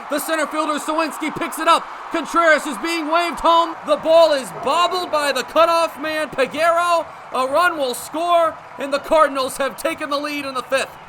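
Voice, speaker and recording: High, bright-voiced young American baseball announcer man. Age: young adult. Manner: energetic, vivid running description. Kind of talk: live radio sports commentary. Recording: live broadcast with ballpark background noise. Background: stadium ambience.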